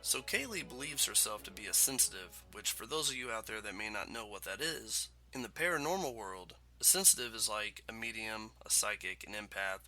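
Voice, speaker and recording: A man's voice: on the low side, pushed and bright. Congested male podcast host over music. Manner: chatty, laughs between points. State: congested from a cold. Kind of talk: casual podcast interview.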